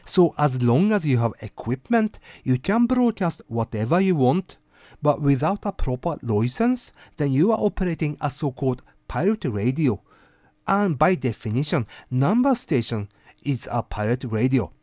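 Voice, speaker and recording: A man's voice: medium pitch, very animated, moderate pace, a little mellow, no room sound, clean studio sound. A man hosting an informal commentary monologue, speaking conversationally with rhetorical asides to the listener. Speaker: host of a weekly rant video show.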